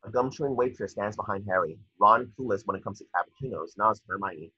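A man's voice: fairly low pitch, fast talker, pushed and bright, slightly rough. A young man narrating scripted stage directions from a screenplay over a video call. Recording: dull, muffled video call audio.

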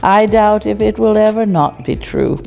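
A woman's voice: medium pitch, normal speed, creaky, warm tone, big-room sound, close to an everyday voice. An older woman playing a genteel grandmotherly eighteenth-century lady, reading a fable aloud to children in a formal, old-fashioned, didactic manner. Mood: genteel, instructive. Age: older, 60s or above. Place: small indoor room, seated.

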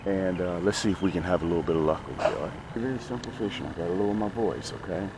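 A man's voice: sits low, very fast. A middle-aged man talking to viewers in a casual, folksy fishing vlog, emphatic and fond of repeating phrases. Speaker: host of a fishing channel. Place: outdoors on a small boat on a lake. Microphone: action camera mounted near him. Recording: outdoor noise, small action camera.

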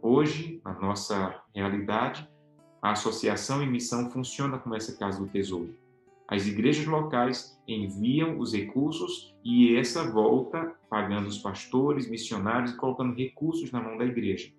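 A man's voice: medium pitch, moderate pace. A man in his thirties from Brazil teaching a Bible lesson to camera, earnest and emphatic, stretching key words for stress. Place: home office with bookshelves, indoors. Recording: echoey room with soft background guitar.

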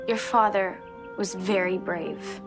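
A young actress playing an earnest, polite teenage princess in a family movie clip. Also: female voice, medium pitch, takes it slowly, vocal fry, over music.

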